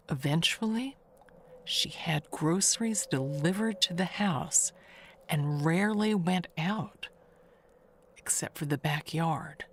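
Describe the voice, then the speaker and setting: Woman acting older female neighbor for audio mystery: unhurried, rambling, coy and wistful gossip; noticeable background noise. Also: low voice. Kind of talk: dramatic monologue in an audio mystery tale.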